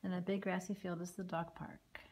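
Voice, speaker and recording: A woman giving a casual walking tour of a house and its backyard, filmed on a handheld phone, with chatty remarks that trail off into soft asides. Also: fairly low pitch, little pitch movement, a little fast, slightly rough. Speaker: home tour vlogger. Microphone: phone camera held while walking.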